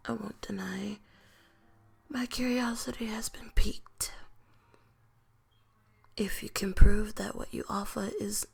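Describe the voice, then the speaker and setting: A woman performs a noble vampire lady for an ASMR roleplay in a very breathy whisper with a creaky edge. She is haughty and menacing, drawing out her formal, taunting words slowly and seductively. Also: medium pitch, exaggerated voice. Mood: sceptical, intrigued. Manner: whispered, slow, taunting, formal old-world phrasing.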